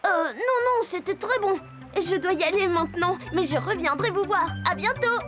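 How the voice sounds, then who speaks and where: An excitable little girl in a children's cartoon. The voice sits extremely high and sounds eager and curious, as if inviting young viewers along. Music plays clearly behind it. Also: normal speed. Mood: curious, polite. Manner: enthusiastic, wide-eyed, addresses young viewers directly. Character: Jasmin, cheerful curious little girl who befriends animals. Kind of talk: children's cartoon dialogue and narration.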